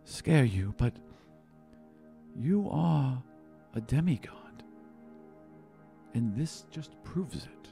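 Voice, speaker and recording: A man voicing a gentle, sorrowful father in a tabletop roleplay, speaking tenderly, solemnly and haltingly. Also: mid-range voice, very animated, creaky, soft background music, full character voice. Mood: hesitant, tender. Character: gentle, sorrowful elven father revealing a painful secret.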